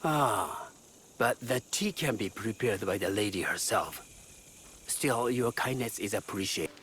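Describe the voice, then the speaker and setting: A man's voice: fairly low pitch, animated, unhurried, a bit bright, breathy edge, heavily acted. An actor playing a humble, fussy village father in a video game, polite, formal and ingratiating as he wheedles. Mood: politely fussy. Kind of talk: game dialogue acting.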